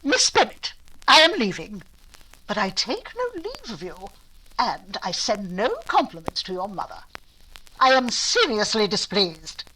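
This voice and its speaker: Haughty older noblewoman, bossy and pompous, swoopy bright voice, old radio play style. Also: medium pitch, sing-song, measured pace, slightly rough, heavily acted, rough recording. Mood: coldly offended. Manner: pompous, commanding, clipped formal speech. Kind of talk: recreated 1940s radio drama acting.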